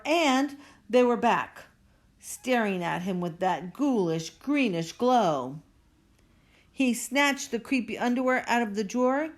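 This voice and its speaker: Slow, dramatic woman reading a children's story. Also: fairly high pitch. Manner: dramatic, drawn-out emphasis on spooky words. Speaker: storybook reader. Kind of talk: expressive children's story read-aloud.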